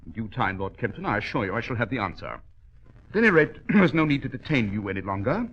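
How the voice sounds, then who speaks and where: Keen British detective, old radio sound. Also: male voice, fairly low pitch, big pitch swings, fast, husky. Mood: keen, assured. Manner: clipped, assured, precise, formal. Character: keen, commanding English gentleman detective. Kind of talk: 1940s radio drama acting. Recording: dull vintage radio recording.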